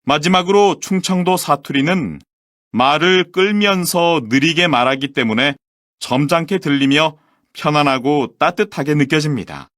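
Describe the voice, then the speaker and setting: A man reading a scripted presentation for a language textbook recording, with clear, measured, formal delivery. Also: a little high, fairly bright tone, no room sound, clean studio sound. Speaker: textbook audio voice actor.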